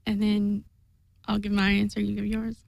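A woman's voice: mid-range voice, monotone, moderate pace, gravelly, a little mellow, no echo. A young woman with a Southeast Kentucky accent, a guest giving short, giggly replies in a casual chat.

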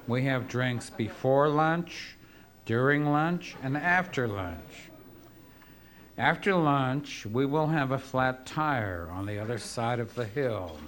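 A man reading his poems aloud to an audience, drunk, gruff and profane, rambling between pieces. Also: mid-range voice, lively intonation, takes it slowly, fairly bright tone, a little hiss or hum. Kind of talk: live poetry reading with rambling asides.

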